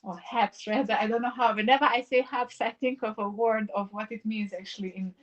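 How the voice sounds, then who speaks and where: A woman's voice: on the high side, fairly even intonation. Brief: woman, reflective live-stream painter, rambling thoughtful delivery, dull lo-fi stream audio. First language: Serbo-Croatian. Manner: musing, reflective, wandering sentences with many pauses. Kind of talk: casual live-stream chat while painting. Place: home in the mountains.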